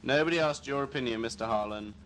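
A man's voice: mid-range voice, fast, natural voice. A man playing a curt, sarcastic spaceship captain in a science-fiction audio drama. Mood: stern, sarcastic. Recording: amateur 1980s drama tape with music.

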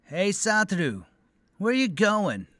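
A man voicing an aloof, self-important schoolboy in an anime parody dub, deadpan and emphatic as he lectures friends. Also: sits high, slow, heavy background noise, exaggerated voice. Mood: smug.

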